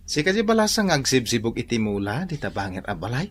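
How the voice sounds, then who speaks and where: A man playing an excitable, nervous, bumbling house guard in a comic radio drama, with a stammering, exclaiming delivery. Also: medium pitch, fast talker, slight background noise, lightly acted. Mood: curious.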